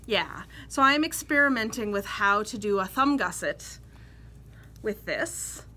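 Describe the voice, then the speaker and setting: A woman's voice: mid-range voice, expressive, unhurried, bright, forceful tone, slight background noise. A Canadian woman co-hosting a knitting podcast, chatting casually and laughing often as she tells stories. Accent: Western Canadian. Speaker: podcast co-host. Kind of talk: casual chat.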